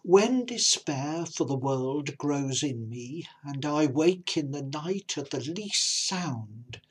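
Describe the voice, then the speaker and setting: A man presenting and reading poetry in a measured manner, pausing between phrases. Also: fairly high pitch, animated, husky. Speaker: compilation presenter and poetry reader.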